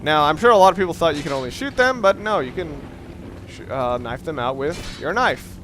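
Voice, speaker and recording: Male let's player, fairly high voice, casual and sweary, loose unscripted rambling, noticeable background noise. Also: expressive, fairly quick, fairly bright tone. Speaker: let's play commentator. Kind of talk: casual unscripted gameplay commentary.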